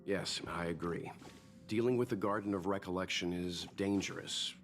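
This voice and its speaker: An actor voicing a calm, thoughtful, worldly gentleman in a video game, speaking in a measured, pondering way. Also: low-pitched, soft background music. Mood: thoughtful, wary.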